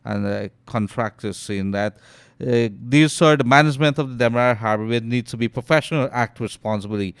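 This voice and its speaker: Animated Guyanese radio host. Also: male voice, mid-range voice, fairly quick, clear, resonant tone, no room sound, studio quality. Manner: loud and animated on air, trails off and murmurs when aside. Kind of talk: call-in radio talk, reading listener messages. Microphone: studio mic.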